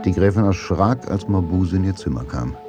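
A male narrator tells a radio drama story in literary, scripted prose. His voice sits very low. Music runs behind him. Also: lively intonation, moderate pace.

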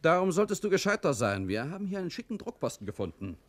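Chatty, expressive wartime Berlin clerk. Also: male voice, on the high side, fast, no echo. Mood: alarmed, reproachful. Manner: chatty, colloquial, cajoling. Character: jovial, self-protective male Nazi-era Berlin mailroom clerk, family man. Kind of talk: radio drama dialogue.

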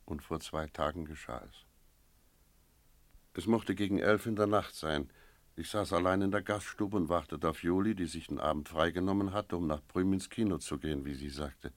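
An actor playing a wary village innkeeper in a German radio drama, telling his story in a measured, brooding way. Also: sits low, dry recording, natural voice. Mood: brooding, reflective. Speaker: narrator and character in a radio play.

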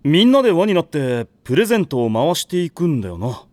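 Casting: good-natured boy captain, casual command, animated intonation, dry studio sound. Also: on the high side, quick pace, dry recording. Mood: in charge. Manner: reassuring, authoritative, casual. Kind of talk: anime audio drama acting.

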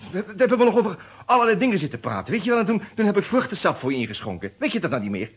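Husky, excitable accused man, swooping. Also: high-pitched, racing, heavily acted. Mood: excited, pleading. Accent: Netherlands Dutch. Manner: excitable, emphatic, repeats words. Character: agitated, indignant male lawyer suspected of murder, pleading innocence. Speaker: actor in a detective radio play. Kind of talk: vintage Dutch radio drama acting. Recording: dull, muffled old radio recording.

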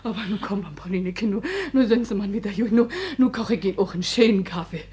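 An actress playing a domineering, anxious working-class wife in a stage drama, with colloquial speech that swings between wheedling and threats. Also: female voice, mid-range voice, fast, a little mellow, natural voice. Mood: coaxing. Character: domineering, anxious working-class married woman, coaxing yet menacing. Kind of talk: theatrical naturalist stage drama acting.

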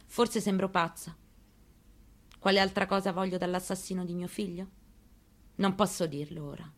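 A woman acts out an elderly mother in mourning, in a slightly low voice. She delivers a theatrical memoir monologue, bitter and defiant, pressing her points with rhetorical questions like someone demanding answers. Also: fairly even intonation, moderate pace, lightly acted. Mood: grieving, resolute. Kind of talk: dramatic stage reading of a memoir, monologue.

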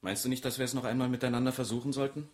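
Actor as a grumbling, fast-talking weary husband. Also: male voice, medium pitch, level tone, darkish tone, natural voice. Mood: defensive. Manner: grumbling, put-upon, dryly defensive. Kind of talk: naturalistic German radio drama acting.

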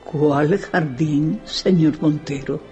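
An actress playing a frail, tired, courteous old widow in a radio drama, with a formal, measured manner. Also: female voice, deep voice, mellow, lo-fi sound, faint music behind. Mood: formal, aloof.

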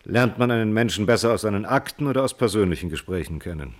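An actor in a radio play voicing a persistent defence lawyer who puts formal, pointed questions. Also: male voice, on the low side, expressive, quick pace, lightly acted. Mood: probing. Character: probing, persistent male defence lawyer cross-examining a witness. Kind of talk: radio play courtroom acting.

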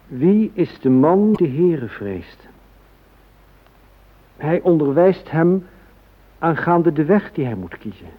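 A man acting a devout psalm reader in a radio play, reciting in a measured, reverent, declamatory way. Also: a little high, very animated, exaggerated voice. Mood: solemn, reverent. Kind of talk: solemn scripture recitation in radio drama. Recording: thin, phone-like old radio recording.

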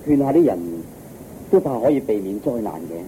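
Casting: male actor as deferential Buddhist monk, polite and questioning, Hong Kong Cantonese, dark dull radio drama sound, slight hiss. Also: mid-range voice, big pitch swings, brisk, natural voice. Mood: earnest. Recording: muffled radio recording, faint noise.

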